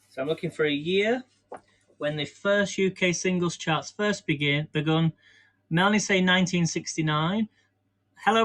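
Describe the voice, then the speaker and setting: A rambling, good-humoured English man hosts a live stream from his kitchen, speaking in a slightly higher than usual voice for a man. He chats loosely between tasks, laughs at himself often and keeps things informal. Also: fairly bright tone. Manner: chatty, rambling, self-deprecating, laughs often. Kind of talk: casual live-stream chat while baking. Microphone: camera on a stand.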